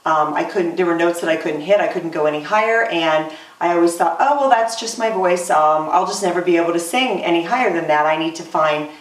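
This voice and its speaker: A woman in her forties, a singer, talking earnestly in a casual interview with many pauses and "um"s, recorded roomy from off-mic. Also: fairly low pitch, distant mic. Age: 40s. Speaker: singer and voice student.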